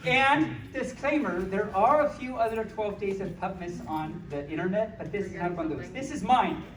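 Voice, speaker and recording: A woman's voice: mid-range voice, lively intonation, normal speed, a crowd in the background. A woman entertaining an audience at an informal live storytime, talking in a playful, cutesy, self-deprecating way. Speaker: storytime performer. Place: echoey convention room with small audience. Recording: roomy, distant camera mic, audience around.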